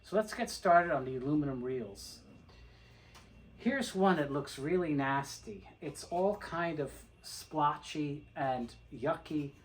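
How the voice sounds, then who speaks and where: A man's voice: a little high, rough recording. A man presenting an informal how-to video, explaining things step by step in a methodical, plain-spoken way. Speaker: presenter of a how-to video on restoring tape reels. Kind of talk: informal instructional demonstration.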